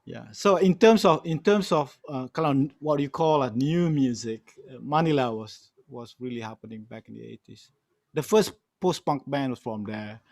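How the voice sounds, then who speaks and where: A man's voice: mid-range voice, moderate pace, vocal fry, darkish tone, no echo, studio quality. A middle-aged man with a Malaysian accent, telling anecdotes casually and emphatically, repeating phrases and often saying "you know". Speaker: guest storyteller and music archivist. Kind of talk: casual anecdotal storytelling on a panel.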